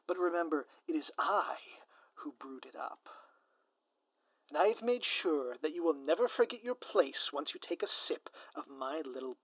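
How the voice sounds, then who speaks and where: A man's voice: on the high side, a bit bright, no room sound. A man playing a grandiose, scheming supervillain in an audio roleplay, with florid, pompous, melodramatic delivery. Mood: smug, menacing. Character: grandiose theatrical male supervillain, lovesick and scheming. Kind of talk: scripted audio roleplay monologue.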